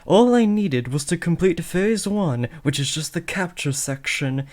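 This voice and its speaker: A man's voice: a little high, animated, a little slow, darkish tone. Man acting a cocky, awkward teenage villain's son. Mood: casual, boastful. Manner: theatrical villain taunting that slips into sheepish casual talk. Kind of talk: scripted roleplay audio addressed to the listener.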